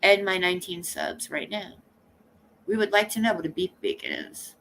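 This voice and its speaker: A woman hosting a casual livestream, chatting to her viewers in an animated, joking way and swearing freely. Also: lowish voice, moderate pace, creaky, a little hiss or hum. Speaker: livestream host.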